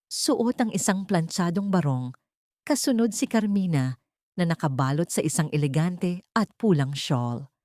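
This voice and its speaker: A Filipino woman narrates a scripted drama in a fairly low voice. The recording is dry and close, with very little room sound. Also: darkish tone, no echo.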